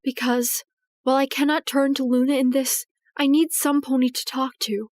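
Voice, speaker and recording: A woman voicing a regal, protective pony princess in an audio drama, speaking formally and earnestly with measured, anxious gravity. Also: sits high, flat delivery, darkish tone, no room sound, close to an everyday voice. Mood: anxious, pleading. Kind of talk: dramatized audio fiction reading.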